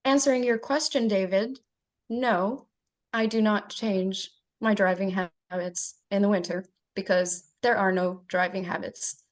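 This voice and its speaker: A woman's voice: mid-range voice, fairly even intonation, unhurried. A woman from Egypt giving a humorous impromptu speech on a video call, laughing now and then. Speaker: meeting guest.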